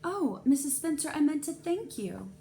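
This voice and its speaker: A coolly polite, defiant woman school librarian from a children's storybook, put on by a woman reader. Her voice is pitched up from plain narration, with some noise in the recording. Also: sing-song, normal speed, mellow, exaggerated voice. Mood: pointedly polite. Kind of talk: children's novel read-aloud dialogue. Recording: clear background noise.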